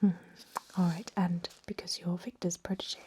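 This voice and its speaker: A woman voicing a kindly, chatty old vampire medic in a gentle, breathy whisper for an ASMR role-play. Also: lowish voice, flat delivery, unhurried, a little hiss or hum, lightly acted. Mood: hospitable. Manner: gentle, rambling, reassuring bedside talk. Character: kindly, chatty, aged female vampire medic, pureblood purist.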